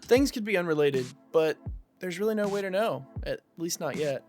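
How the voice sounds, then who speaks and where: An actor playing an earnest young man in a conversational audio drama, explaining himself in a measured way. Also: high voice, expressive, a little mellow, faint music behind. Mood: troubled, uncertain. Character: earnest young man pleading for patience and trust.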